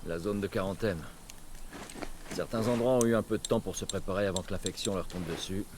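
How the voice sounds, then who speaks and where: An actor voicing a gruff, weary middle-aged male survivor in a video game, speaking tersely in a low murmur. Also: brisk, natural voice. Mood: curt. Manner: terse, curt. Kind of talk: dubbed video game dialogue. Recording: game audio with noise behind.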